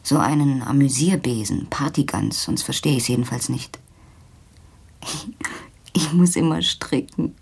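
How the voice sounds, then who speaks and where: A young kept woman in a radio drama, talkative and sardonic, confiding her disappointments. Her voice sits low for a woman and has a husky, smoky grain. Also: a little slow, long pauses, darkish tone, exaggerated voice. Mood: wry, musing. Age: young adult. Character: disillusioned, talkative young kept woman, a hard-drinking failed dancer. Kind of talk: German radio play dialogue.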